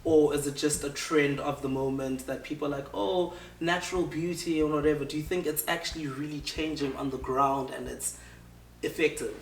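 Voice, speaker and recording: A man's voice: on the high side, little pitch movement, moderate pace, a little hiss or hum. A man with a South African accent hosts a casual interview, chatty and playful as he tells stories. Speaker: interview host. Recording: echoey room, mic at a distance.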